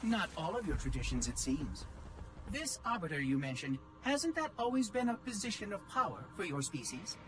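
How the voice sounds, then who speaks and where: An actor playing an ancient, inquisitive artificial intelligence in a game cutscene, articulate, knowing and probing. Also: male voice, sits high, sing-song, normal speed, noisy background. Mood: curious, probing. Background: cinematic music.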